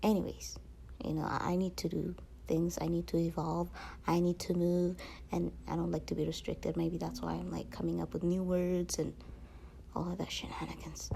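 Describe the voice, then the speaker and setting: A woman's voice: fairly low pitch, level tone, normal speed, vocal fry, mellow, slight background noise. A woman vlogger chatting casually to her viewers in a rambling, slangy way. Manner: rambling, peppered with slang and filler phrases.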